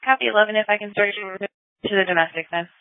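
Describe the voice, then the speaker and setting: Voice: mid-range voice, fairly quick, clean tone. A police dispatcher relaying incident details over police radio in a procedural, matter-of-fact manner. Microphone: radio dispatch microphone. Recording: tinny narrow-band police scanner audio.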